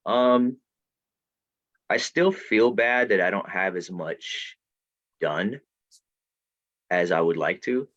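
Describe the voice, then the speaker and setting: A man's voice: on the low side, animated, takes it slowly, pauses often, a bit bright, no room sound. A man from Florida, a guest author on a video call, rambling and restarting, swearing casually. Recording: dull dry video-call audio.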